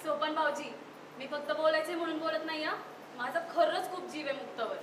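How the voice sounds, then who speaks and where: A woman's voice: sits high, a little fast, natural voice. A woman playing an earnest city sister-in-law in a live stage play, arguing her case politely and with emphasis. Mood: earnest, persuasive. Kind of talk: live one-act stage play acting. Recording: echoey distant stage recording with noise.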